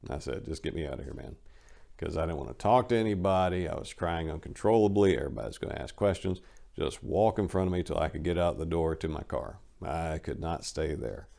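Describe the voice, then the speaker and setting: A man's voice: a bass voice, vocal fry, mellow. A man telling a personal story in a blunt, folksy, slangy way.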